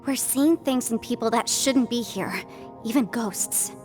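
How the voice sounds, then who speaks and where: A woman playing an analytical, polite young investigator in a video game, measured, articulate and thoughtful. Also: fairly high pitch, darkish tone, faint music behind, lightly acted.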